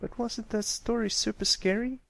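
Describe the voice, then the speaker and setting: A man's voice: high voice, steady tone, moderate pace, vocal fry, dry recording, voice pushed up. A man voicing a brash, boastful young tomboy pony filly in a storybook reading, talking defensively. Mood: annoyed, eager. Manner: loud, defensive, bragging.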